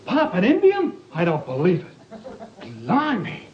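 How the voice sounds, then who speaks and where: A man's voice: high-pitched, huge pitch swings, slow pace, full character voice. A man playing a timid, short-sighted would-be cowboy in a sitcom, hesitant and pleading. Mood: anxious pleading. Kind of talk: 1950s British TV sitcom acting. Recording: echoey, muffled, noisy TV studio audience recording.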